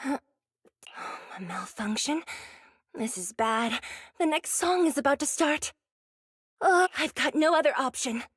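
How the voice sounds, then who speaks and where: A woman playing a bubbly, devoted young female pop star in an anime-style video game, expressive and dramatic, often musing to herself. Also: sits high, a little slow, long pauses, no room sound, clean studio sound. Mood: puzzled, worried. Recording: music behind the voice.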